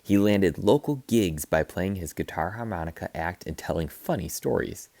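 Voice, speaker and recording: A man reading a children's picture book aloud as a teacher, with animated, dramatic emphasis. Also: deep voice, normal speed, gravelly, dry recording. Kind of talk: expressive children's read-aloud narration.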